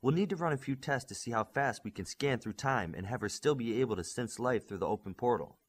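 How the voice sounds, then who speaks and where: A man's voice: mid-range voice, fairly quick, husky, lightly acted. Man voicing a composed, authoritative superhero woman. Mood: explaining. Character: composed, forceful female superhero with telepathy.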